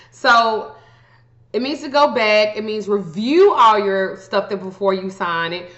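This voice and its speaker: A woman talks to her viewers like a casual explainer, blunt and sweary, tossing in joking asides. Her voice sits at a medium pitch. The recording is muffled and carries a clear room echo. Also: fairly bright tone. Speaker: astrology vlogger giving tips. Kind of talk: casual explainer chat to camera.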